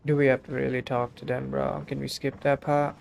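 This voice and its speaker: Male live streamer: casual gameplay commentary, offhand, creaky voice, little pitch movement. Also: flat delivery, fairly quick, slight background noise. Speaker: game streamer.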